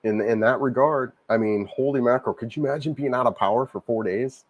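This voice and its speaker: A man hosting a casual livestream, a little hoarse from a cold, rambling and repeating himself as he answers viewer comments. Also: mid-range voice, fast talker, dry recording, muffled recording. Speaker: livestream host. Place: home in Cebu, Philippines.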